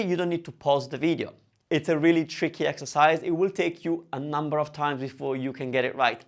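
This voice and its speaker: Man teaching, slightly high creaky voice. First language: Italian. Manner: explains step by step with emphatic stresses and check-ins. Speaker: language teacher and host. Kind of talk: instructional talk to camera.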